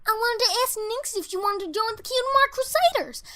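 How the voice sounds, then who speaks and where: A woman's voice: extremely high pitch, expressive, fairly quick, bright, forceful tone, full character voice. A woman voicing an eager little girl pony in an audio story. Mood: hesitant, pleading. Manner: childlike, eager. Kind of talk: acted audio story dialogue.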